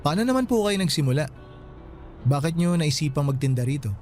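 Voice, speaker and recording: An actor playing a friendly Filipino night guard who talks respectfully and deferentially in a radio drama. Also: male voice, on the high side, very animated, brisk, darkish tone, faint music behind. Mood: friendly, curious. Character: polite, friendly male night guard named Melo.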